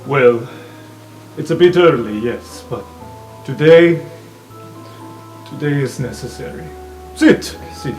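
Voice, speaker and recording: Man playing a genteel, tippling elephant gentleman. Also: medium pitch, animated, slow pace, long pauses, faint music behind, rough recording. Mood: genial, hushed urgency. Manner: courteous, repeats words.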